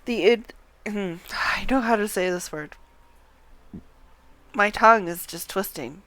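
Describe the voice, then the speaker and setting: A woman game streamer reading dialogue aloud on a livestream in a deliberate, sometimes halting way, with casual commentary. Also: medium pitch, fairly bright tone, no room sound.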